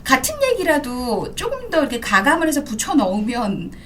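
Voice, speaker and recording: A woman in her thirties, a radio DJ, talking in an animated, story-telling way during an interview, laughing often. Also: fairly high pitch, fairly quick, a little hiss or hum. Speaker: radio DJ guest. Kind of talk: casual interview talk.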